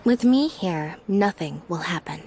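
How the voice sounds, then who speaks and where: Deadpan young magician's assistant. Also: female voice, fairly low pitch, measured pace, slight background noise. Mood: calmly resolute. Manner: deadpan, understated. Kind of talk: English video game voice acting.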